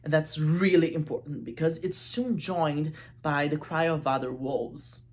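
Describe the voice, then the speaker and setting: A low-pitched woman talks through a literary analysis in a casual, chatty way. She is informal and playful, dropping asides to the viewer. Background noise is audible in the recording. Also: steady tone, measured pace, darkish tone. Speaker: host of a literature video series.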